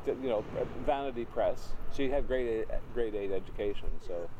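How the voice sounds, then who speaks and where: An older man in his eighties from Prince Edward Island, telling family stories as an interview guest in a relaxed, rambling, wry way. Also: medium pitch, brisk. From Prince Edward Island, Canada. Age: 80s. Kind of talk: casual storytelling conversation. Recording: noisy background.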